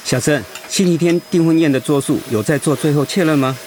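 An actor playing an overworked, dutiful middle manager and husband, weary and put-upon, in a radio drama. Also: male voice, fairly high pitch, fairly quick, darkish tone, a little hiss or hum, natural voice. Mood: harried.

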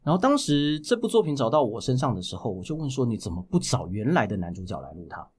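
Taiwanese mandarin guy on a podcast, very lively pitch swings, casual, keeps rephrasing stuff as he explains. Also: fast, husky. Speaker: guest, voice actor.

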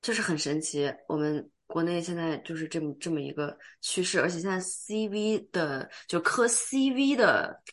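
A woman's voice: fairly low pitch, normal speed, hardly any pauses. A woman with a youthful, sweet voice, chatting animatedly and casually with friends as a podcast host. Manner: animated, talkative, teasing banter. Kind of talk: casual chat among friends.

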